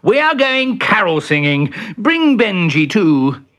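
A storyteller voicing a bossy, excitable young male animal in an eager, exclamatory manner, for a children's story. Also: mid-range voice, slow pace, pitched above the performer's own voice.

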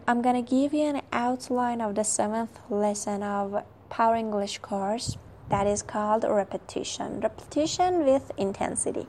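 A woman's voice: fairly high pitch, mellow, slight background noise. A woman whose first language is Turkish, outlining an English lesson in an emphatic way and often checking in with "okay?". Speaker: English teacher.

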